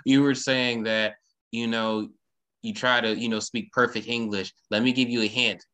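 A podcast host whose voice is bright and forceful. The host reacts in an animated way and encourages the guest often. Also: medium pitch, normal speed. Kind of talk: podcast interview.

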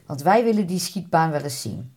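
Low-voiced woman narrating. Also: lively intonation, normal speed, darkish tone, slightly rough. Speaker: podcast host and narrator. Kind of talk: scripted documentary narration.

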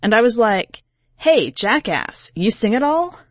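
A woman's voice: on the high side, very animated, unhurried, dry recording, dull audio, voice pushed up. A woman reading a first-person story as a brash, sardonic singer, in a slangy, emphatic, conversational way. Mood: wry. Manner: sassy, slangy, irreverent, emphatic. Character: brash, sardonic urban woman singer, self-declared diva, telling her tale.

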